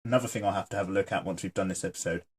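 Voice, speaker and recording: A man's voice: low voice, rapid-fire, slight background noise. A man hosting his own channel, chatting casually and joking while he sketches and reads viewer comments aloud. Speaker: artist and channel host.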